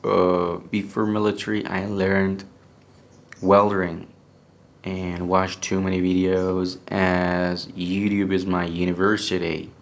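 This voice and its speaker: A young man from Iran with an Iranian accent, speaking English as a second language with a native Persian background, telling a personal story in a casual, halting way full of restarts. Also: low-pitched, completely flat delivery. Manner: halting, hesitant English with many restarts and fillers. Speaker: podcast speaker.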